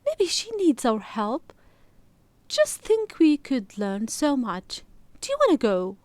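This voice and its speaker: An eager, adventurous young storybook girl, acted out by a woman, talks in a high voice with big swoops of pitch. She is excitable and teasing, quick to exclaim. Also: no echo, heavily acted.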